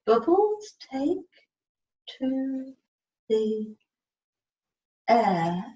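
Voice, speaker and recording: Woman tutoring one on one, really slow, repeats stuff and spells letters out, muffled echoey video call. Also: on the high side, big pitch swings, warm tone. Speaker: communication support partner helping a learner spell out words. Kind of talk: one-to-one lesson, coaxing and prompting. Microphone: video call, away from the microphone. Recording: echoey room, muffled distant mic, faint noise.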